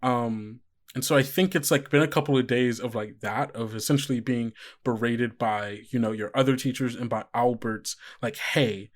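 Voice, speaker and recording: Male game master for actual-play horror podcast. Conversational, improvised narration, average pitch, often asks questions. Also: fairly even intonation, moderate pace.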